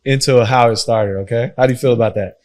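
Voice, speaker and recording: A man's voice: mid-range voice, fast talker, darkish tone. A man who grew up between Haiti and Miami, hosting a podcast interview in a casual, affirming way and often repeating his phrases. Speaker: podcast host.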